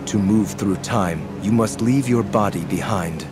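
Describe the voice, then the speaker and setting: An actor playing a calm, mysterious young male villain in a game, speaking in a measured, cryptic and solemn way. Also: on the low side, close to an everyday voice. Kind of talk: video game cutscene acting. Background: game soundtrack music.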